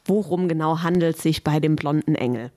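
A woman's voice: deep voice, brisk, dark tone. A woman hosting a podcast, chatting and asking friendly questions. Her voice sounds dry and close, with no room echo. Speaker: podcast host. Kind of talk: casual interview. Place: basement room.

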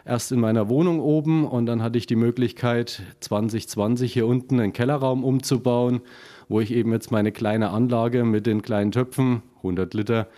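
A man from Upper Franconia with a Franconian accent, a small craft brewer explaining his beers in a relaxed interview with many fillers, recorded in a basement brewery room. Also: mid-range voice, normal speed. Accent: Franconian German. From Upper Franconia, Germany. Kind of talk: casual interview.

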